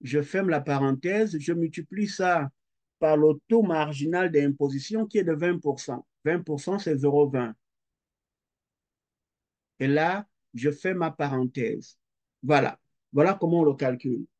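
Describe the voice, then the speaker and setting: Slow, mellow lecturer. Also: male voice, on the high side. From Quebec. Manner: slow, methodical, repeats figures aloud as he writes. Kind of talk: recorded tax lecture working through calculations.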